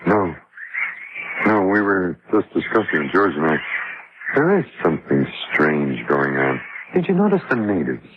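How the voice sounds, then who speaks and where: An actor playing a jumpy, suspicious armed guard at a relief camp in an old radio drama. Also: male voice, low-pitched, very animated, measured pace. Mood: wary, spooked. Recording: muffled, noisy old radio recording.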